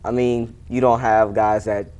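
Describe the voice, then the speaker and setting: A man's voice: lowish voice, level tone, measured pace, mellow, smooth, lo-fi sound. A young American man around eighteen giving short, plain-spoken, casual answers as an athlete being interviewed. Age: young adult, about 18. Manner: laconic, plain-spoken, understated. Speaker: high school wrestler being interviewed.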